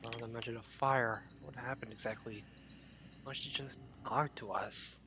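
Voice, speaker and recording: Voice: mid-range voice, steady tone, normal speed. A person of unclear sex commenting on and reading along with a game. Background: game music.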